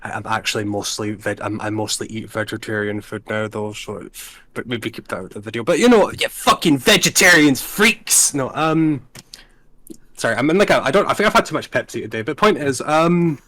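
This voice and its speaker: A young man with a Scottish accent talks in an easy mid-range voice, neither high nor low. He rambles with plenty of profanity, loops back on himself and keeps the energy up. This is a loose, casual panel chat. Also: a little fast. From Scotland. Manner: rambling, profane and animated, with frequent restarts. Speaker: tier list panelist.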